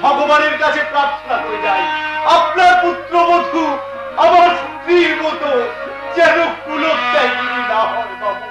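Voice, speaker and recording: A heartbroken wandering music tutor pours out his bitterness in a very high, plaintive voice. The delivery is theatrical Bengali stage melodrama, echoing over loud music. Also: lively intonation, lo-fi sound. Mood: pleading. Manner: theatrical, plaintive, drawn-out sung lament. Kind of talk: Bengali jatra folk theatre acting with song. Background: loud musical instruments.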